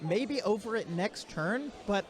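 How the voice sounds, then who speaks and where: A soft-spoken man commentating a tabletop game, sitting too far from his microphone. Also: sits high, expressive, measured pace, crowd noise. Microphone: too far from his mic.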